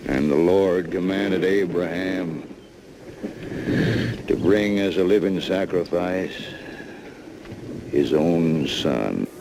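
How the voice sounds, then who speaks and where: An actor playing a solemn, gravelly man reciting scripture in a television Western. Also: deep voice, animated, slow, pauses often, noisy background, exaggerated voice.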